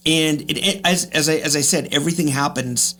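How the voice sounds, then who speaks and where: A man's voice: a little high, a little fast, fairly bright tone. A man in his fifties speaking as an interview guest, reflective and emphatic, repeating phrases for effect. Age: 50s. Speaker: podcast guest, real estate agent.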